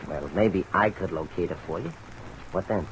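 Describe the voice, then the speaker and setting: An actor playing a shifty, guarded small-town tough in an old-time radio drama, probing and evasive in slangy talk. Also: male voice, low-pitched, moderate pace, close to an everyday voice. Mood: probing, cagey. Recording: old radio recording under rain noise.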